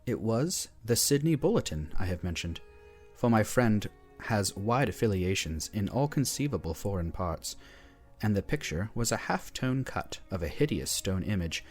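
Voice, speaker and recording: A man reading a horror story aloud in a measured, grave manner. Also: fairly low pitch, very animated, soft background music. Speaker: narrator.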